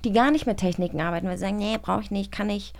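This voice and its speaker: A woman's voice: mid-range voice, rapid-fire. Playfully teasing woman co-hosting a podcast. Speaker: podcast co-host. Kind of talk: casual interview banter.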